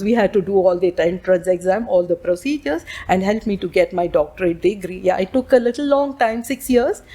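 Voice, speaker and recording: A woman, giving a loud, halting talk about her working life to students. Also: mid-range voice. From India. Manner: loud, many restarts and fillers. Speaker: guest speaker.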